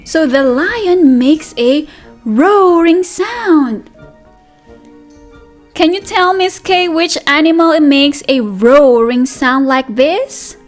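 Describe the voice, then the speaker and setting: A woman's voice: high-pitched, slow pace, soft background music. A woman reading aloud as a preschool teacher, addressing small children in a sing-song manner with questions and repetition. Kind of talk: interactive children's read-aloud lesson.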